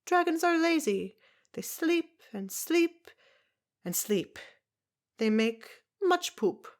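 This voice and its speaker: A woman's voice: high voice, big pitch swings, slow, pitched above the performer's own voice, full character voice. A woman voicing a tiny, brusque foreign herbalist woman who speaks in clipped broken English with a foreign accent. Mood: gruff, pushy. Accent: foreign, unplaced.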